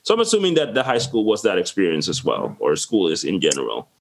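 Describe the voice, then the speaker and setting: Chatty low-voiced male podcast host. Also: huge pitch swings, fast talker, vocal fry. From Dominican Republic. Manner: rambling, chatty questions with restarts. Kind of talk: casual interview chat.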